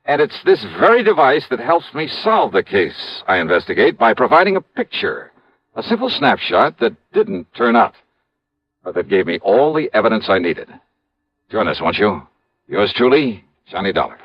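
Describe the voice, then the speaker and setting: Hard-boiled insurance investigator: wry, animated narration, 1950s radio detective style, muffled sound. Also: male voice, on the low side, normal speed. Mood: confiding, teasing. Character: wry, tough male insurance investigator narrating his cases. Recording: dull vintage radio recording.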